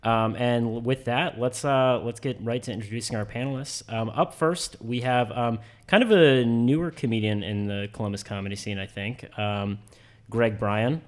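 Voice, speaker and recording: A man's voice: medium pitch, fairly even intonation, moderate pace. A young man hosting a comedy panel discussion, hesitant with many filler words. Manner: hesitant, lots of ums. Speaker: podcast host.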